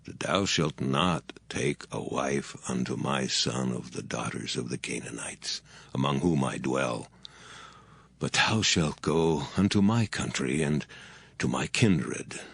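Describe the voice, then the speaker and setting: Very deep, gravelly old patriarch. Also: male voice, expressive, fairly bright tone, dry recording, heavily acted. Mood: grave, commanding. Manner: slow, weighty, dramatic delivery. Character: solemn, aged Hebrew patriarch, grave and commanding. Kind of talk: dramatized scripture acting.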